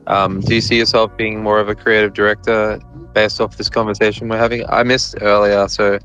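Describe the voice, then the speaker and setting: A man's voice: lowish voice, flat delivery, brisk, fairly bright tone, faint music behind. A man from the audience asking a question hesitantly, repeating words as he goes. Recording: dull lo-fi audio.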